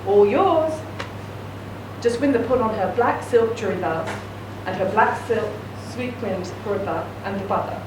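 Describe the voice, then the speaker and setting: A woman's voice: medium pitch, moderate pace, few pauses. A woman reading a short story aloud at a live reading event, narrating steadily. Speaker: reader. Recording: echoey room, distant mic, noisy.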